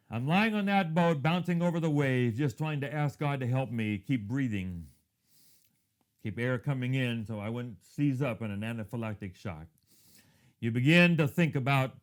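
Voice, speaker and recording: A man in his fifties who grew up in Thailand, giving a reflective devotional talk built around a personal story and often dropping to a hushed tone. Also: mid-range voice. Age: 50s.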